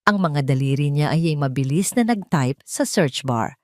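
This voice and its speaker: A woman's voice: sits low, animated, moderate pace, a little mellow, no room sound, clean studio sound. A woman with a Filipino accent reading a scripted, dramatic story as its narrator.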